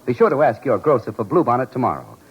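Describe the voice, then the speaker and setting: A man reading a 1940s radio advertisement as an announcer, with a persuasive salesman's delivery and a slightly husky voice. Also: mid-range voice, very animated, fast, muffled recording.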